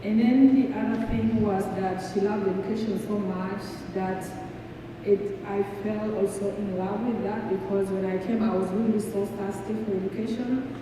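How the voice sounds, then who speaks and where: A woman's voice: mid-range voice, fairly even intonation. Ugandan woman in a very echoey hall. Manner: halting, searching for words. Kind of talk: eulogy, informal personal tribute. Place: church hall, speaking to a gathering. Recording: echoey hall from far off, noisy.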